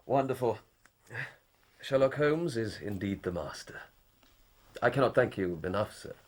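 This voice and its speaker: A man's voice: mid-range voice, expressive, measured pace. An actor playing an earnest male police inspector in a radio drama, who speaks in a polite, eager, deferential way.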